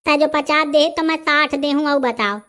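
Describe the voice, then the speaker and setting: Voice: sits high, moderate pace, fairly bright tone. An actor with a Bundelkhandi accent voicing a brash, argumentative village man haggling in a comedy cartoon. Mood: boastful, haggling.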